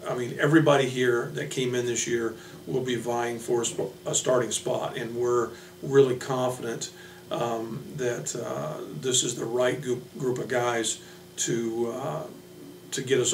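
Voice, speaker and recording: An American man, a basketball coach, introducing his team informally with halting, pausing delivery. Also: mid-range voice, flat delivery. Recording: echoey room from a distance, hum, noise.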